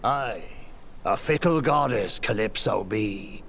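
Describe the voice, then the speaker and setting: A grim old pirate captain from a video game. His voice is gravelly and slow, with archaic phrasing, over a noisy ambient track. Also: lowish voice, lively intonation, fairly bright tone, heavily acted. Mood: bleak resignation. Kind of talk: dramatic video game acting.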